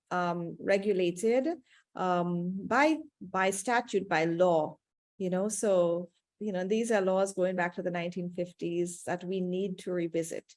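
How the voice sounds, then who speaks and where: Woman, cocoa farmer on a video call, fairly low voice, measured and diplomatic, hesitant, dry sound. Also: a little mellow, no echo. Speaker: cocoa estate representative and third-generation cocoa farmer. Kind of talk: webinar Q&A answer.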